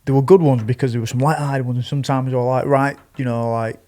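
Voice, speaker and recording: A man's voice: mid-range voice, steady tone, fast talker, warm tone. A man with a Northern English accent, talking casually on a podcast. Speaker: actor on a podcast. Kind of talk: casual chat.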